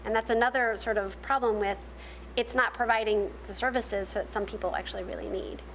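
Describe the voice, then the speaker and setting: A woman's voice: mid-range voice, fairly even intonation, brisk, fairly bright tone, a little hiss or hum, rough recording. A woman on a discussion panel, explaining a policy problem at length with frequent restarts and fillers.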